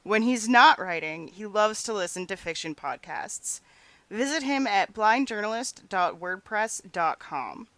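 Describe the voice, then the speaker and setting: Woman doing a podcast interview as host, bright voice, really dry room sound. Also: mid-range voice, animated, measured pace, no echo.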